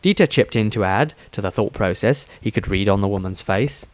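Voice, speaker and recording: A man's voice: lowish voice, expressive, brisk, no echo. Male fantasy storyteller, dry sound. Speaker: author narrating his own story. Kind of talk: dramatic scripted fantasy audiobook narration.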